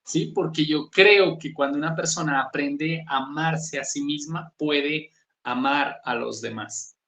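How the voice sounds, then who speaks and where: A man's voice: unhurried, creaky, a bit bright, roomy sound. A man from southern Colombia with a Pasto accent, a guest author talking in a thoughtful, reflective way. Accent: Colombian, Pasto region. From southern Colombia, Nariño. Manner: thoughtful, varied pitch, asks "¿sí?" to check in. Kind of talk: reflective conversational interview.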